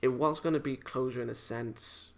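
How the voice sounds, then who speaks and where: Guy doing a casual off the cuff game review, bit gravelly, lots of hesitating and rambling, kinda apologetic. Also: medium pitch, fairly even intonation. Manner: halting, hesitant, rambling, self-correcting. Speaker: amateur video game reviewer. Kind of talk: casual unscripted visual novel review.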